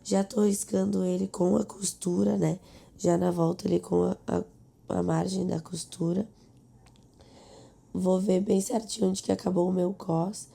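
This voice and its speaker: A woman, hoarse and losing her voice, hosts a live sewing tutorial, explaining steps and checking in with her viewers. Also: medium pitch, dark tone. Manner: explanatory, with frequent "tá?" and "né?" check-ins. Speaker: sewing instructor and host.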